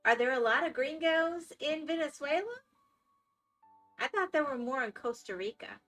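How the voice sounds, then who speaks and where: Female streamer with a Florida accent, talkative, bright voice. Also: high voice, lively intonation, normal speed, soft background music. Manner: chatty, reacting to viewer chat. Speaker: live streamer. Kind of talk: casual chat while gaming.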